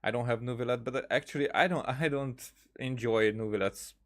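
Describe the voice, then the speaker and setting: A man streaming a game with casual commentary, thinking aloud in a halting way and repeating words. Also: mid-range voice, a bit bright. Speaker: gaming streamer.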